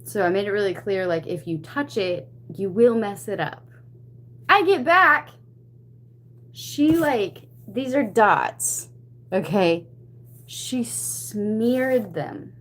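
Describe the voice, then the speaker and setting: Animated, chatty woman telling a story. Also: medium pitch, slow, a little hiss or hum. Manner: animated, chatty retelling with acted-out quotes. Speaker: livestream host. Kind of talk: casual anecdote to stream viewers.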